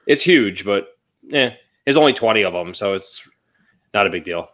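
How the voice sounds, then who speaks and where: Man, slightly low voice, casual chat, podcast co-host, collectibles talk. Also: animated, normal speed, fairly bright tone.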